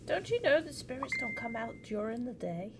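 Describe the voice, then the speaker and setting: A woman's voice: fairly high pitch, normal speed, over music. A woman doing casual live game commentary, chatting to her viewers in an informal, rambling way. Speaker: live streamer. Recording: steady audible background noise.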